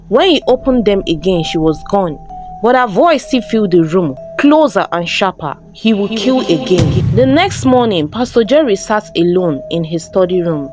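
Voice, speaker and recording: A woman storyteller giving a scripted, dramatic narration of a folktale. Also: mid-range voice. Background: background music.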